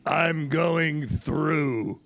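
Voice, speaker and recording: Man acting a creaky, pompous freight engine. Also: on the high side, very slow, a bit bright, heavily acted. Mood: dismissive, self-important. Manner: pompous, echoing own phrases. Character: big gruff self-important freight engine, dismissive and busy. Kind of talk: children's live audio theater storytelling.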